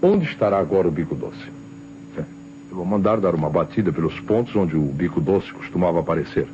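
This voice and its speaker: Low-voiced Brazilian police inspector. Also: male voice, animated, warm tone, slight background noise. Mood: musing. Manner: deliberate, authoritative questioning. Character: calm, sceptical middle-aged male police inspector. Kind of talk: old Brazilian radio drama acting. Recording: dull vintage radio recording, faint music.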